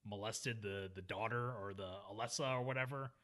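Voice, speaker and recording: A man co-hosting a film podcast, talking in a rambling, animated way and often correcting himself mid-sentence. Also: lowish voice, brisk. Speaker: podcast co-host. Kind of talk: casual film discussion.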